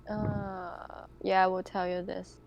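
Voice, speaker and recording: A woman's voice: mid-range voice, very animated, slow pace, vocal fry, darkish tone, dull audio. A woman chatting casually as a player in a tabletop roleplaying game. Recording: faint background noise and room ambience.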